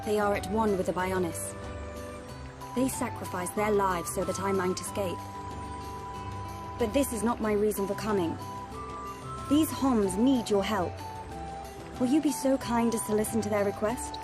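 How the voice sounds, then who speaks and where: An actress playing a noble, solemn young woman in a video game, speaking in a formal, measured, stately way. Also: mid-range voice, over music, natural voice. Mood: grave, composed. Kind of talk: video game cutscene acting.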